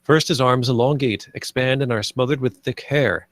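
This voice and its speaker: A man's voice: medium pitch, moderate pace, no echo. Man narrating screenplay action, dry sound. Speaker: narrator reading stage directions. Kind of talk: scripted narration, screenplay table read.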